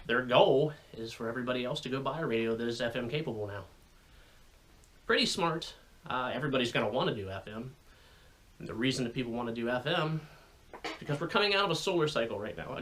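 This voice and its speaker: A man's voice: mid-range voice, a bit bright. Folksy American man explaining radio gear. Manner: folksy, plain-spoken, colloquial. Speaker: radio hobbyist video host. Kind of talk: informal explainer vlog.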